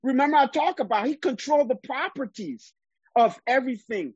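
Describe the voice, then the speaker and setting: A man's voice: very high voice, lively intonation, a little fast, darkish tone, husky, dry recording, lo-fi sound. A man from Liberia preaching a sermon, emphatic and often repeating words while he gathers his thoughts.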